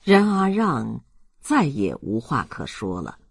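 A man narrating a literary novel as an expressive audiobook reader. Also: medium pitch, takes it slowly, creaky, no room sound, studio quality.

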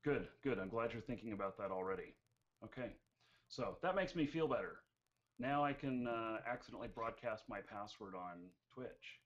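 A man's voice: lowish voice, lively intonation, moderate pace. A man in his forties from the United States, hosting a live-streamed Q&A in a chatty, joking way with many fillers, on a headset mic at home. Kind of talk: casual live-stream chat. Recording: dull lo-fi stream audio.

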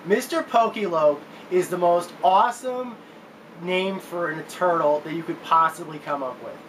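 A man in his twenties giving casual countdown commentary to camera as a video game reviewer, recorded in a room at home. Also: fairly high pitch. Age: 20s. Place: small room at home, indoors. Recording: noticeable background hiss.

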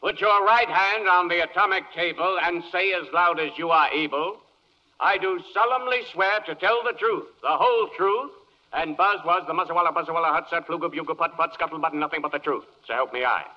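An actor playing an officious court clerk rattling through ceremonious patter in a 1940s radio comedy. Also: male voice, fairly high pitch, a little fast, close to an everyday voice. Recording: muffled 1940s radio recording.

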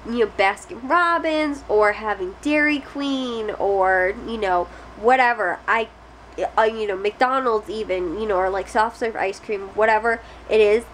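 A woman's voice: medium pitch, unhurried, a bit bright, a little hiss or hum, rough recording. A young adult woman vlogging casually to a close camera in a small bedroom, rambling with lots of fillers and restarts. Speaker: family vlogger. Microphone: close camera at face height.

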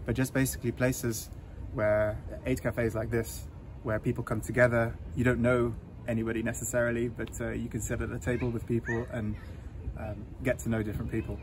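A man, talking in a relaxed, halting way with many fillers during a casual interview in an outdoor community garden. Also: mid-range voice, rough recording. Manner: easygoing, hesitant with many fillers. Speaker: interview guest, volunteer. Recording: outdoor noise with passing traffic.

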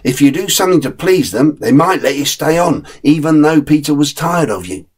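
A man's voice: on the high side, expressive, normal speed, a little mellow, husky, voice pushed up. A man voicing a friendly, streetwise male terrier who gives blunt, knowing advice.

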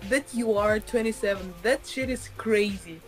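A young woman from Uzbekistan chats with strangers over a webcam call. She sounds animated and exclaims easily, her voice at a middle pitch, with faint music behind her. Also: moderate pace. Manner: animated and expressive, exclaims and laughs often. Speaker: video chat user. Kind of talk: casual video chat with strangers. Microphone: webcam video call.

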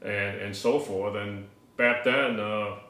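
A man's voice: on the low side, fairly even intonation, slow, sharp tone, distant mic. An older man co-hosting a casual, conversational discussion about a hobby. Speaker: co-host of an audio hobby show. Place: living room table indoors. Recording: echoey room sound.

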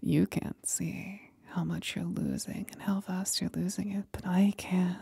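A woman playing a sly, condescending demon companion in an ASMR roleplay, speaking in a husky, breathy whisper with slow, teasing deliberation. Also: on the low side, fairly even intonation, warm tone, dry recording, clean studio sound, heavily acted. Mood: cruel, taunting.

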